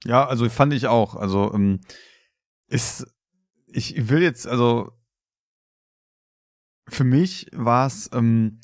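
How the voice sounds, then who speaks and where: A man's voice: mid-range voice, slow, long pauses, dry recording. Guy chatting on a podcast, really dry sound, keeps restarting his thoughts. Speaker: podcast co-host. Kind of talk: casual podcast chat.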